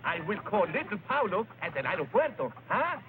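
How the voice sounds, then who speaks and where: Lively, boastful Mexican villager, noisy old recording. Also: male voice, high voice, brisk, phone-quality sound. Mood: eager, boastful. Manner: broken English sprinkled with Spanish, excitable, self-important. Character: boastful, genial Mexican villager, self-important father and sheriff. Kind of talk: old-time radio drama acting.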